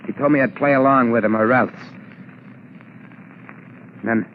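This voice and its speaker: A man's voice: mid-range voice, fairly even intonation, a little slow, close to an everyday voice. An actor playing a worried ex-convict safecracker in a 1940s radio drama, speaking hesitantly in uneducated tough-guy slang. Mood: grim, anxious. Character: worried, fearful male ex-convict safecracker turned candy-store keeper. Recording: muffled, noisy 1940s radio broadcast.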